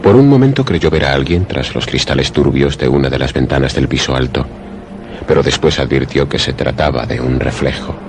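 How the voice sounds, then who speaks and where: A man narrating a suspense radio drama in a measured, literary storytelling manner. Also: rumbling low. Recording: prominent music behind the voice. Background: music.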